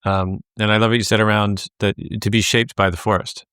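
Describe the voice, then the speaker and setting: A male podcast host with a smooth, resonant voice that sits a little low. His pitch stays flat and even as he builds long, searching questions padded with fillers. The recording is dry and close. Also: fairly quick, no room sound. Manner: warm, affirming questions with many fillers. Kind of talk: interview conversation.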